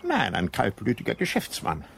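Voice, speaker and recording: An actor playing a dry, ironic British police inspector in a radio drama, full of sly understatement. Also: male voice, lowish voice, huge pitch swings, normal speed, vocal fry, a bit bright, slightly rough, heavily acted. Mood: sardonic. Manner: wry understatement, mock-casual.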